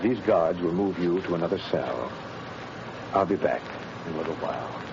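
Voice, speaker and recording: Sombre prison official, low formal voice, regretful, vintage radio drama, noisy recording. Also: male voice, lively intonation, normal speed, muffled recording. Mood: solemn, regretful. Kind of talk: 1930s radio drama acting.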